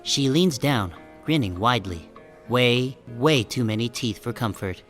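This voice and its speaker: Audiobook lead: wry, deadpan young sheep narrator male actor, self-conscious, conversational, mid pitch. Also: measured pace, soft background music. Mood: wry, musing. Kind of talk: first-person audiobook narration.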